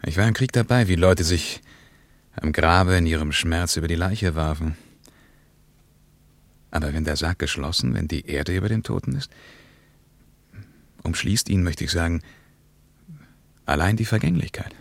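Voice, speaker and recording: An actor playing an earnest, reflective war veteran on a journey in a radio play, speaking in a deliberate, philosophising way. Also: male voice, sits low, vocal fry, no room sound. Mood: earnest, musing.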